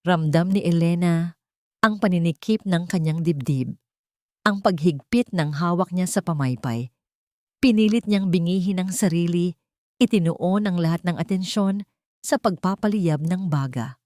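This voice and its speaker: A woman's voice: on the low side, a little slow, dark tone, dry recording, clean studio sound. A woman reading scripted, dramatic narration of a love story as a storyteller.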